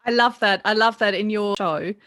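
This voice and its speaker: A woman's voice: medium pitch, moderate pace, fairly bright tone, no echo. German podcast host woman, dry close sound. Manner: enthusiastic and encouraging, lots of backchannel. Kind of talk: casual interview chat.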